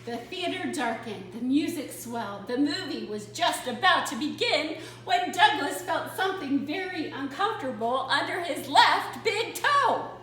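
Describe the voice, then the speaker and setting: Female children's storyteller: dramatic read-aloud, high and bright, unhurried, in a very echoey room. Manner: expressive, dramatic. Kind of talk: animated picture-book read-aloud. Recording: very echoey room, distant mic, faint noise.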